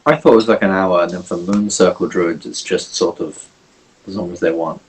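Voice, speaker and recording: A man with a fairly low voice plays in a tabletop game. He talks in a casual way and often stumbles, repeating his words. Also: a little fast, a little hiss or hum, poor audio. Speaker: player.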